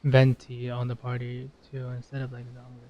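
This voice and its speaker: A man's voice: mid-range voice, monotone, normal speed, dull audio. A man giving casual commentary while he plays a game, rambling and thinking aloud in a self-deprecating way. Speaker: gamer narrating gameplay. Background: game music.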